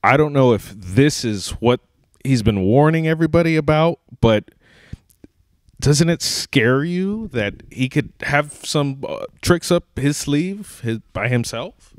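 A young man hosts a wrestling interview show on a handheld mic. He speaks at a medium pitch in an earnest announcer manner. The sound is close and dry. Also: animated, a little slow, dry recording. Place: indoor wrestling ring. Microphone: handheld microphone held close.

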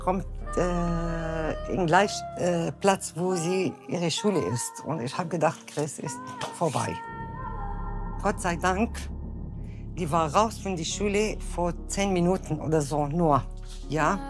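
A woman contestant telling a story slowly and haltingly in a confessional interview. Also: fairly low pitch. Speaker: model contestant. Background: music.

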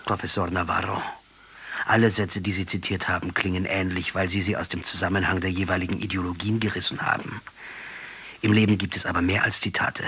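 An actor playing a defiant, ironic revolutionary commander in a radio drama, speaking emphatically and rhetorically. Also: male voice, low-pitched, flat delivery, a little fast, bright, forceful tone, slight background noise. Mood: pointed, sardonic.

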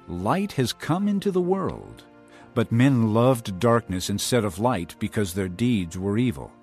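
Calm authoritative teacher, earnest, solemn, expressive melody, scripture drama, background music. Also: male voice, mid-range voice. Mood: solemn teaching.

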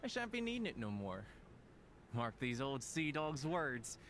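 Woman doing a hammy old pirate guy, sea-dog talk, swoopy lively voice, bit of hiss. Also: very deep voice, unhurried, voice pushed down, exaggerated voice. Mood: gruff, theatrical. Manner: archaic pirate speech, hammy.

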